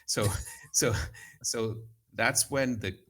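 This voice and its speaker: A man's voice: mid-range voice, slow pace, fairly bright tone. A man from Cyprus who grew up in Greece, a guest trader in casual podcast chat, rambling with many fillers and restarts.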